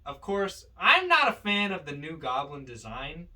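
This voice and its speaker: Man, podcast co-host, bright forceful voice, swooping pitch, emphatic casual film talk, distant microphone. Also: wildly swooping pitch, measured pace.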